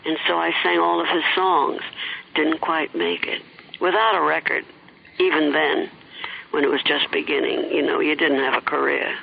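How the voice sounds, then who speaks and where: An older woman, a former singer, looking back on her career in a hesitant interview full of pauses. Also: sits low, level tone, gravelly, dull audio, slight background noise. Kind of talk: reflective interview recollection.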